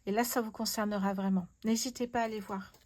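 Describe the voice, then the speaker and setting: A woman gives a tarot reading to her viewers in a casual, personal style. Her delivery is effusive and full of wonder, often repeating her amazement. Her voice sits at a middle pitch for a woman. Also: steady tone, quick pace, darkish tone. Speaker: host, tarot card reader.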